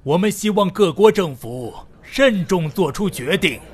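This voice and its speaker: A man's voice: high-pitched, animated, a little slow. A man playing a worried, cautious diplomat speaking formally in an audio drama. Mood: concerned, cautious.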